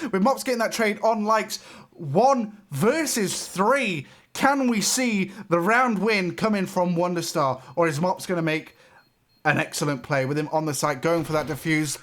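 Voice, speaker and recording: Guy doing live esports play-by-play, kinda high voice, gets hyped on big plays, bit raspy. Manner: excitable, rising sharply on big plays, laughs between calls. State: voice getting raspy. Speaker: esports caster.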